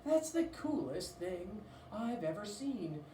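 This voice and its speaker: A woman's voice: medium pitch, big pitch swings, mellow. A woman voicing a dramatic, exclaiming mother from a children's storybook. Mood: amazed. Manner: exclaiming, drawn-out, melodramatic. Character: dramatic storybook mother, impressed then disgusted by son. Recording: roomy, distant mic, faint noise.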